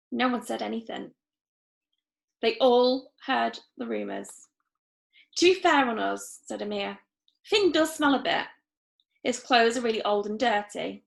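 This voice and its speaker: A woman reading a children's story aloud expressively, acting out the dialogue with lively emphasis. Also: a little high, vocal fry.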